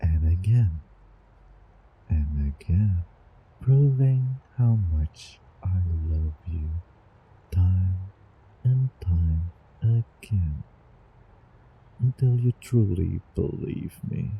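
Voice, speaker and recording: A man's voice: sits low, lively intonation, creaky, phone-quality sound, exaggerated voice. A man playing an obsessively devoted, doting time-traveling lover in an intimate roleplay audio drama, coaxing the listener. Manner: slow, intimate, coaxing, over-the-top devotion. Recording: background ambience, light noise bed.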